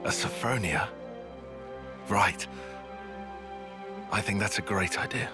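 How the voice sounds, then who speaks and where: An actor playing an earnest, considerate young comrade in a fantasy video game, speaking in a thoughtful, measured way. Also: male voice, lowish voice. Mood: sheepish, surprised. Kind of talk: video game cutscene acting. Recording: loud background music.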